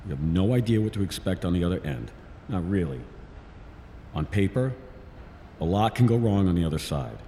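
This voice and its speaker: Deep mellow lead scientist, wry and careful, lively intonation, big reverb. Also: male voice, normal speed, pauses often. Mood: cautious. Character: cautious, dry-humoured male scientist team leader. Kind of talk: audio drama acting. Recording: long reverb, faint background noise.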